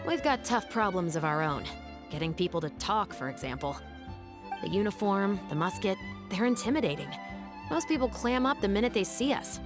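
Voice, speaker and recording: A dutiful, wary patrol captain from a game, played by a woman, with a mid-range voice. She speaks in a dry, measured, skeptical way. Game music runs behind her. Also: medium pitch, animated, lightly acted. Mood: explaining. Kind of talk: game cutscene voice acting.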